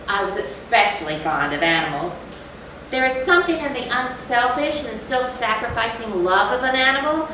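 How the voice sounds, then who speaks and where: A woman playing a tormented, confessing animal lover turned cruel drunkard, in a deliberate, formal, theatrical reading of a gothic horror story. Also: fairly high pitch, lightly acted. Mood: fond, reflective. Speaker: readers theatre performer. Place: large echoey room, far from the mic. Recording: very echoey, distant, noisy live hall recording.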